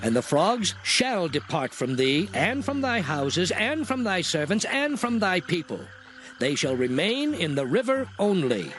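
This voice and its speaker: A high, solemn prophet. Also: male voice, very animated, a little slow, a bit bright, a little hiss or hum, exaggerated voice. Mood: firm. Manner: solemn, emphatic proclamation. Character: prophet Moses, solemn adult man messenger. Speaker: Moses in a dramatized Bible reading.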